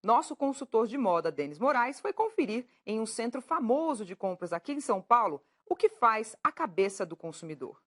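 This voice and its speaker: Lively woman TV host. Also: a little high, a little fast, hardly any pauses. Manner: playful, teasing, animated. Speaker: TV show host. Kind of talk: morning TV talk show hosting.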